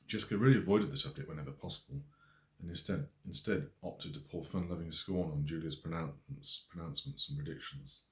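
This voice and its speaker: A man's voice: low voice, very animated, brisk, creaky. A man reading scripted narration from his own novel as an audiobook. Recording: echoey room.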